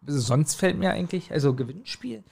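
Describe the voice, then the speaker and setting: A man chatting casually as a podcast co-host, telling personal stories in an animated way and often chuckling. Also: a little high, fairly quick, vocal fry, slightly rough. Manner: animated storytelling, frequent chuckling. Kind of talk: casual chat, personal anecdotes.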